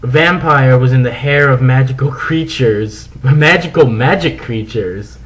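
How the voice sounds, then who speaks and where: A man's voice: mid-range voice, measured pace, rough recording. A man narrating fan fiction as a comic dramatic reading, theatrical and mock-serious.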